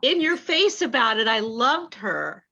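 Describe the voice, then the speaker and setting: A woman reading out a playwright's answers in an online talk back, warm and enthusiastic. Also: a little high, big pitch swings, measured pace. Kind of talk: video call talk back. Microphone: video call. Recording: dull lo-fi call audio.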